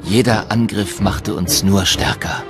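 An actor playing a proud, defiant union veteran, reading a dramatized memoir quote in a documentary. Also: male voice, on the low side, fairly even intonation, measured pace, music in the background.

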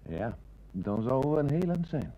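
A man playing a calm, polite, observant police detective in a Dutch radio play. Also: medium pitch, unhurried, dull audio. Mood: matter-of-fact.

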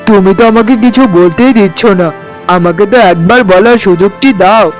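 A man's voice: high voice, brisk, soft background music, close to an everyday voice. A man playing a guilt-ridden, henpecked older brother in a cartoon, with a pleading manner. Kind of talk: Bengali cartoon voice acting.

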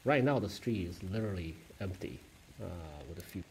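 A man narrating a casual travel vlog as he walks through streets, a mall and a subway station, halting with many fillers and self-corrections. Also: low-pitched, expressive, slight background noise, low-quality recording. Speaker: travel vlogger.

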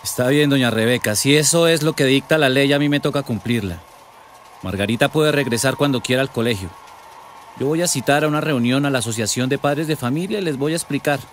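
An actor with a Colombian accent playing a formal, rule-bound middle-aged school principal in a radio drama. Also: male voice, mid-range voice, fairly quick, slight background noise, close to an everyday voice. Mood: resigned. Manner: formal, official.

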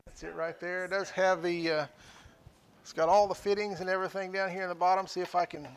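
Gravelly high-voiced older man hawking items. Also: flat delivery, fast talker, slight background noise. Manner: folksy, joking, describing items one by one. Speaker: auction show host. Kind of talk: casual on-air sales pitch. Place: small studio room with sale items.

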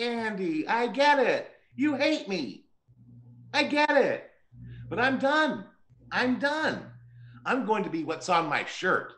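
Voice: mid-range voice, fairly bright tone. An actor voicing a killer doll who wheedles and pleads to make amends in a dramatic scene over a video call. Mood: insistent, wheedling. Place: indoor room, remote video call. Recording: roomy echoing video-call audio.

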